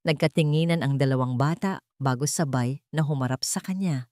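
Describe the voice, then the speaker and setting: A woman's voice: a bass voice, brisk, warm tone, dry recording, clean studio sound. A woman narrating a scripted romance drama in a theatrical, suspenseful way. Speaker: story narrator.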